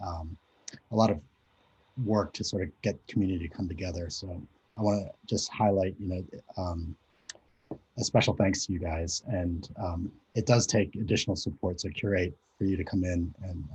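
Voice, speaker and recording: A man's voice: low voice, steady tone, moderate pace, a little mellow, slightly rough, poor audio. A man in his forties, raised in Japan, giving a hesitant, conversational presentation over a video call with many fillers. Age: 40s. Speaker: conference speaker. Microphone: video call mic.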